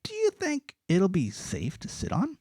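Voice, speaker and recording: A cautious schoolgirl from a storybook wonders aloud in a high, drawn-out voice, as a man puts her on. Her pitch swoops up at the ends. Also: huge pitch swings, darkish tone, breathy edge, no echo, studio quality, heavily acted. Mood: doubtful. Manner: high, slow and doubtful.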